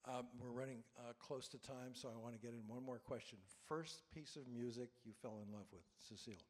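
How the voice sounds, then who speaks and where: Male panel host, mid pitch, playful and upbeat with his questions. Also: fairly even intonation, a little fast, a little mellow. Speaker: interview host. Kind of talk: hosting a panel interview.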